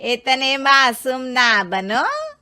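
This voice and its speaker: A sharp-tongued cartoon mother-in-law, acted out by a woman. She scolds loudly and drags her words out in exasperation. The nagging is slow and stern. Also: high-pitched, huge pitch swings, exaggerated voice. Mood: stern, scolding. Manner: loud, drawn-out, exasperated nagging. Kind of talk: cartoon story character voice.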